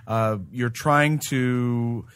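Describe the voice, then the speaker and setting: A man hosting a casual review chat, explaining things haltingly and often groping for the right word. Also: medium pitch, steady tone, fairly bright tone, clear, resonant tone, no echo. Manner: hesitant, halting explanations that grope for words. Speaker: podcast host reviewing games.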